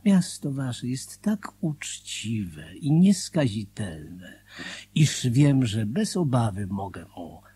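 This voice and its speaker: An actor in a radio drama playing a solemn, grateful former gambler, confiding in a husky, whispery voice. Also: male voice, mid-range voice, sing-song, takes it slowly, warm tone, no room sound, heavily acted. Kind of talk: Polish radio drama monologue, dramatic reading.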